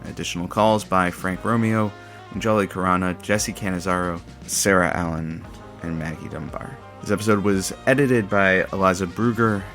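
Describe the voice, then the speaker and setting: A man's voice: low-pitched, steady tone, moderate pace, few pauses, fairly bright tone, soft background music. A man acting as an awkward, conciliatory sidekick in a radio studio, casual and a little hesitant. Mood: matter-of-fact. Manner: hesitant, placating. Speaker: radio co-worker who reads the credits.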